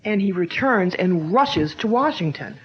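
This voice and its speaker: A woman's voice: medium pitch, animated, unhurried, natural voice. An actress playing an earnest, brave young woman on the trail of her father's killer, in a 1940s-style radio drama. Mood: earnest, urgent. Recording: dull lo-fi old radio recording.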